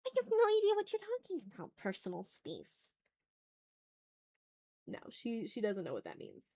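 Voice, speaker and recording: A woman hosts a casual art livestream in a mid-pitched voice. She talks informally to her chat while she draws. Her speech often turns into thinking aloud and muttering to herself. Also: huge pitch swings, normal speed, mellow.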